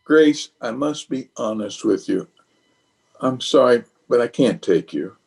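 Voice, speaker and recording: A man's voice: medium pitch, animated, unhurried, vocal fry, darkish tone, husky, full character voice. A man playing a flustered, blustering middle-aged bachelor in an old-time radio comedy, hemming and sheepish. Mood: sheepish, apologetic.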